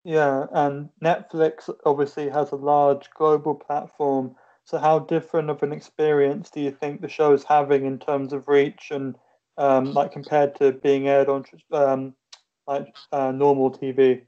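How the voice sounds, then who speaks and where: Flat-voiced man interviewer, hesitant. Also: fairly high pitch, a little slow. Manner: hesitant, many fillers like "um" and "like". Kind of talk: interview questions. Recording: dull lo-fi call audio.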